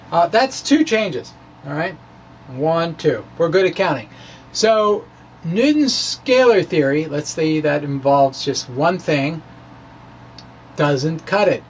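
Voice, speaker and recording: A man with a fairly high voice presents his science blog informally. He moves haltingly, pausing mid-phrase, with a playful, wry edge. A light layer of background noise sits under the recording. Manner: wry, playful, chuckles at own asides. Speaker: amateur physicist presenting own science blog. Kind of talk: dramatic reading of a physics blog, informal explaining.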